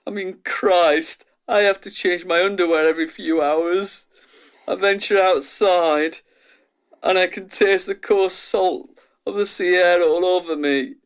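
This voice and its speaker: Man acting a slow, tormented test subject. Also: sits high, fairly even intonation, smooth. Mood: distressed. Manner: halting, intense. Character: anxious male test subject, tormented and overwhelmed. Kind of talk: radio drama acting.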